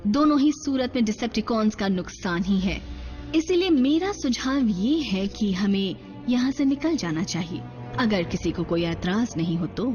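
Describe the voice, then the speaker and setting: A woman's voice: medium pitch, expressive, moderate pace, darkish tone, close to an everyday voice. A woman voicing a scheming, persuasive female robot commander addressing troops in an action cartoon dub. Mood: calculating, persuasive. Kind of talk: Hindi-dubbed action cartoon acting, speechmaking. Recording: dull sound with music behind.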